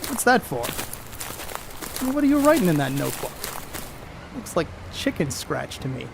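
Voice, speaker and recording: A crude, boastful middle-aged baseball star acted by a man in a period stage play. His voice sits high and swings freely as he tosses out cocky slang. The recording has clear background noise. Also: very animated, moderate pace. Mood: careless, joking. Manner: cocky, slangy, coarse-mouthed. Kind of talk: stage play acting, 1930s American banter.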